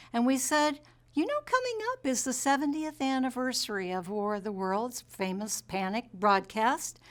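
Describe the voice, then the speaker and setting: A woman's voice: medium pitch, a bit bright. An older American woman telling a recollection in an interview, as a former teacher.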